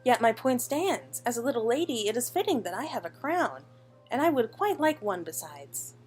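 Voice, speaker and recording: A woman acts out a playful young noble lady from a video game. She is genteel and formal, high and lilting, with faint music behind. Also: very animated, moderate pace, husky.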